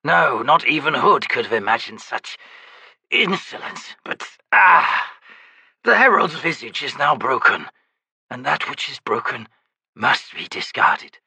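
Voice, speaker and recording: A man's voice: medium pitch, sing-song, unhurried, vocal fry, bright, forceful tone, breathy edge, no echo, heavily acted. A man voicing an ancient, wounded, nonhuman warrior who mumbles with dry, mocking scorn. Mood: sardonic. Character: wounded ancient male Jaghut warrior, sardonic.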